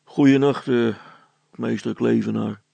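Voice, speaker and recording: A timid village policeman from a storybook as a man acts him out, hesitant and mumbling. His voice is creaky and husky as he tries to pass for brave. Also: mid-range voice, fairly even intonation, slow, mellow, no echo, exaggerated voice.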